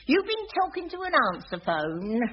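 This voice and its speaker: High, swooping, amorous cleaner. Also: female voice, huge pitch swings, moderate pace. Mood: flirtatious. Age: older. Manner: flirtatious, insistent, colloquial. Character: over-amorous, brazen older cleaning woman, easily huffy. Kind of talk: 1980s radio sitcom acting. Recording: dull, noisy old radio recording with clicks.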